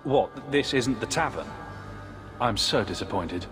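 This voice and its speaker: A man's voice: mid-range voice, expressive, unhurried, close to an everyday voice. An actor playing a sarcastic young male refugee hero with a dry wit. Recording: game audio with reverb and music.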